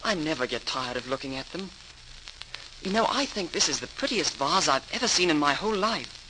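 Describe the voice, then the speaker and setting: Guy playing eager little boy in old radio drama, kinda high voice, lively, bit of hiss. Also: a little fast, close to an everyday voice. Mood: eager, earnest. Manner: eager, earnest, wide-eyed. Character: eager nine-year-old boy, earnest aspiring potter. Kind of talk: 1940s radio acting. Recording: hissy 1940s radio recording.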